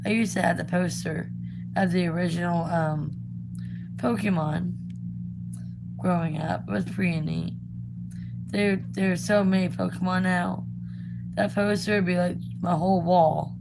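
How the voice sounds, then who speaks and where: A young woman, still raspy from being sick, chatting casually while she draws, rambling with lots of ums and likes. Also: lowish voice, fairly even intonation, measured pace, pauses often, heavy background noise. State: raspy from recent illness. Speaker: art vlogger. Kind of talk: casual chat while drawing.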